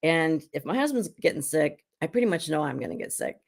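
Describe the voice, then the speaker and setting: A middle-aged woman who is hoarse while getting over an illness, chatting casually as she hosts a live skincare demonstration at home. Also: sits low, very animated, a little fast. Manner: chatty and rambling, with frequent restarts. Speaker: beauty consultant and show host.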